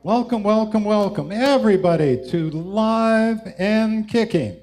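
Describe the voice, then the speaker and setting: A man's voice: high voice, expressive, unhurried, darkish tone. A man casually hosting a live music show. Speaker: host and band singer. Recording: roomy live sound with music.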